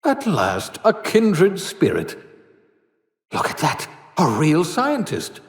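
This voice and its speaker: Game role: eccentric older male genius, wry and excitable, wildly swooping delivery, echoing reverberant space. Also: on the high side, slow pace, heavily acted. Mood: delighted, scheming. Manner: theatrical, wry, teasing, quaintly wordy. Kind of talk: video game character acting. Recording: echoey room with long reverb.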